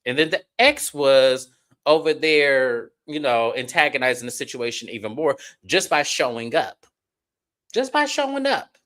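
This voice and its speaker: A man's voice: mid-range voice, unhurried. A man hosting a live stream, chatting casually to viewers as he recaps a reality show episode. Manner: chatty, animated, addresses viewers directly. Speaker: host.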